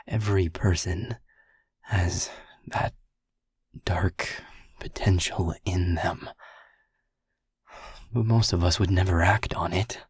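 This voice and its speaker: A gruff, protective man played by a male actor in an audio drama. His voice is deep and very husky, full of breath, and he takes his time over each phrase in a close, dry sound. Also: steady tone, no room sound, exaggerated voice. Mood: grave, measured. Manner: slow, deliberate, halting phrasing. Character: gruff, protective man calming a frightened friend. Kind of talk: audio roleplay acting.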